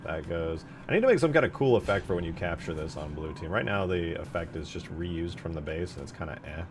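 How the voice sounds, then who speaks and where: A man's voice: low-pitched, lively intonation, brisk. A man giving casual commentary as a game modder, thinking aloud about work in progress. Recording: clear background noise.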